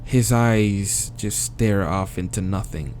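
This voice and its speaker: A man's voice: fairly low pitch, slow pace, a little mellow, soft background music. A man reading visual novel narration aloud as a let's player, with casual, crude asides.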